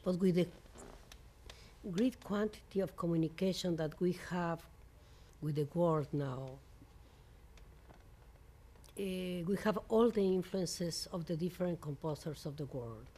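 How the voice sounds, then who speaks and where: A woman from Mexico speaking English with a Mexican Spanish accent as a non-native speaker, giving an interview as a composer in halting English full of fillers and restarts. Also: fairly low pitch, warm tone, husky. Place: venue in New York.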